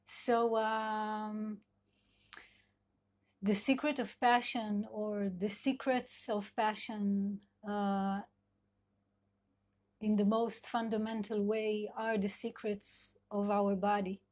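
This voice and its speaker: A middle-aged woman with an Israeli accent, a sex and couple therapist whose first language is Hebrew, giving a live online talk in a gentle, searching way with many fillers. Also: mid-range voice, little pitch movement, takes it slowly. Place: at home in Israel.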